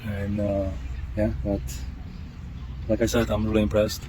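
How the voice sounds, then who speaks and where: A man with a heavy Czech accent filming himself outdoors on a camera mic, talking through a product test in a casual vlog, with a halting delivery full of fillers and restarts. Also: on the low side, monotone. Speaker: product tester. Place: outdoors on trails and beach. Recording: outdoor noise.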